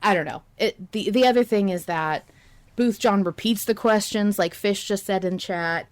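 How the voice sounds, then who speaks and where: A woman's voice: mid-range voice, moderate pace. A woman hosting a podcast in casual discussion, thinking aloud and hedging her opinions as she goes. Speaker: podcast host.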